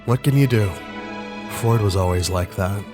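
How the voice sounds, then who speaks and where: Man as wistful game hero, fairly low pitch, weary, loyal, loud music behind. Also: moderate pace, close to an everyday voice. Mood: resigned. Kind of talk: fan-dub fantasy game voice acting.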